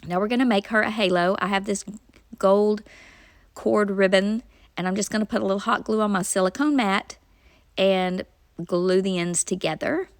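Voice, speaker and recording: A woman's voice: medium pitch, normal speed, dry recording, clean studio sound. Nasal-sounding woman, chatty craft vlogger. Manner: chatty, homey, step-by-step explaining. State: nasal from a cold, sore throat. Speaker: craft channel host. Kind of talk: casual craft tutorial voice-over.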